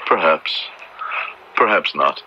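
Grave colonial minister, very sharp forceful voice, measured archaic speech, radio drama, dull noisy recording. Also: male voice, extremely low pitch, animated, exaggerated voice. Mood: grave, troubled. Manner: measured, formal, archaic diction. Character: solemn, conscientious Puritan minister of colonial times. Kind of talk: old-time radio drama acting.

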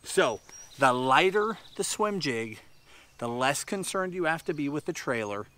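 A man in his thirties giving a fishing tutorial on a boat outdoors, explaining with lively gestures while sweating in the heat. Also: fairly high pitch, unhurried. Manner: explanatory, animated hand gestures. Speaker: fishing instructor. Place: seated on a bass boat outdoors by a tree-lined shore.

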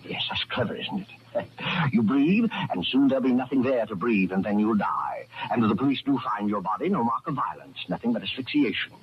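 An actor playing a genteel, menacing killer who gloats with mock courtesy and rambles on, in 1940s radio horror style. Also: male voice, medium pitch, lively intonation, brisk, natural voice. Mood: gloating menace. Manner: rambling, self-satisfied, chuckling, mock-courteous. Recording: dull, noisy old-time radio recording.

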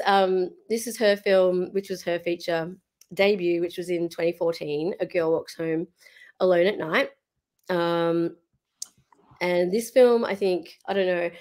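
Woman in her 30s hosting over webcam, kinda squeaky today, lots of ums and restarts, lowish voice. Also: darkish tone. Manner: hesitant, many fillers and restarts. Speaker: podcast host. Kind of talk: casual chat. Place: room at home. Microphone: video call webcam.

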